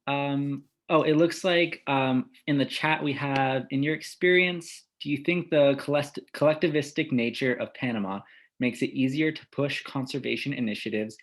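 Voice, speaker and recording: A man's voice: medium pitch, fairly even intonation, moderate pace. A man moderating a panel Q&A, casual and hesitant with many fillers, reading questions from the chat.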